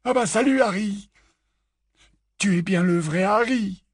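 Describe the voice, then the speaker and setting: A man's voice: high voice, unhurried, breathy edge, dry recording, studio quality, voice pushed up. A man voicing a joking young male wizard twin in a storybook reading. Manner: joking, wry.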